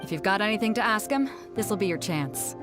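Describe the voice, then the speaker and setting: An actress voicing a bold, outspoken female captain in a video game, speaking briskly and teasingly. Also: medium pitch, expressive, over music, close to an everyday voice.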